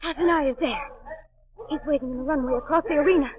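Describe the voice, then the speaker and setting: A woman's voice: sits high, quick pace, crowd noise, lightly acted. A woman playing a sultry trapeze killer. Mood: pleading. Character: sultry female circus trapeze artist, murderous. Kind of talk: 1940s radio acting. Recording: dull lo-fi 1940s radio broadcast.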